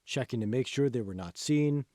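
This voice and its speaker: A man's voice: medium pitch, expressive, normal speed, dark tone, dry recording, clean studio sound. A man reading a novel aloud as scripted audiobook narration. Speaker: author reading own audiobook.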